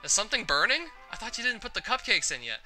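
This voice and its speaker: Man voicing a feisty, higher-pitched anime schoolgirl. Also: sing-song, fast, sharp tone, faint music behind, heavily acted. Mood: scolding. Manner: scolding, defensive. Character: feisty, bossy, easily flustered teenage anime girl.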